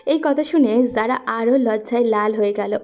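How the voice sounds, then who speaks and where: Female storyteller for scripted romance narration, higher-pitched with a dark, mellow tone. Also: normal speed.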